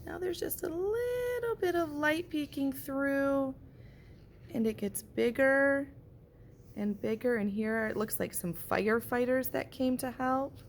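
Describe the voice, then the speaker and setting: A woman reads a children's picture book in a slow, unhurried way, stopping to talk about the pictures. Her voice sits a little high and stays gentle throughout. A faint hiss of noise sits under her voice. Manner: gentle, slow storybook delivery with pauses. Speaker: teacher reading aloud. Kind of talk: picture book read-aloud with comments on the pictures.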